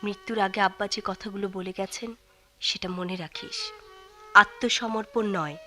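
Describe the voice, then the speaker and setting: An actress playing a devoted, resolute young elder daughter in a radio drama, speaking with earnest emotion. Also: female voice, mid-range voice, fairly even intonation, normal speed, pushed and bright, faint music behind, lightly acted. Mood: quietly resolute. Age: young adult.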